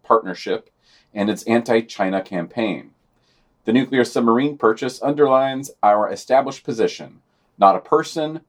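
A man co-hosting a podcast, reading scripted news items with dry, sardonic asides. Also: medium pitch.

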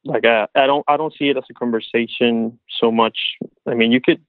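Male interview guest on a thin phone-like connection, monotone, casual, hesitant phrasing. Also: mid-range voice, a little fast, no echo. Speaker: podcast guest. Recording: muffled phone-like line.

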